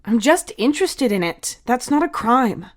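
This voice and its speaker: A woman's voice: a little high, sing-song, moderate pace, pitched above the performer's own voice. A woman playing a shy, sulky boy. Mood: flustered, defensive. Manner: hesitant, huffy, defensive. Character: shy, sulky boy, secretly curious and easily embarrassed.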